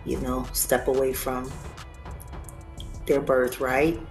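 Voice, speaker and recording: A woman's voice: very deep voice, flat delivery, takes it slowly, darkish tone, faint music behind. A woman giving commentary, building her point clause upon clause.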